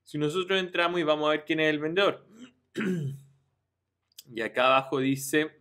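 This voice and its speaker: A man's voice: on the high side, moderate pace, fairly bright tone. A man, talking viewers through a screen-share tutorial in an informal way, often checking in with "ya" and restarting his phrases. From Chile. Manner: informal, addresses viewers directly, frequent "ya" and restarts. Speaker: online business youtuber.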